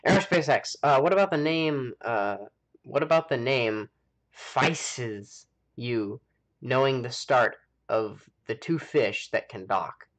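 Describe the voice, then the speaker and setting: American guy streaming a game, mid-pitched voice, just rambling and thinking out loud to chat. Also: expressive, takes it slowly. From United States. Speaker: live streamer hosting a gaming stream. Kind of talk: casual chat while playing a game.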